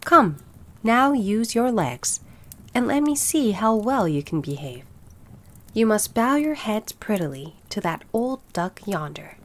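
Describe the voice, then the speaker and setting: Woman voicing a fond, bossy mother duck, lively pitch. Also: measured pace. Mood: bossy, instructive. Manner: fussy, instructive, exclamatory. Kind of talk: storybook character voice.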